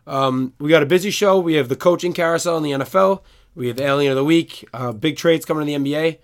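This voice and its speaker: A man with a hoarse voice chats casually about sports on a podcast. He jokes and rambles, and his lines often fade into a quiet mutter. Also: on the high side, quick pace. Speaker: podcast co-host.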